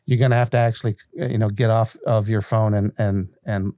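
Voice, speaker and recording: A man's voice: fairly low pitch, steady tone, fairly quick, dark tone, no room sound, clean studio sound. An American man hosting a podcast, talking casually in a rambling, chatty, unscripted way. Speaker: podcast host. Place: small fenced-off area beside a living room at home.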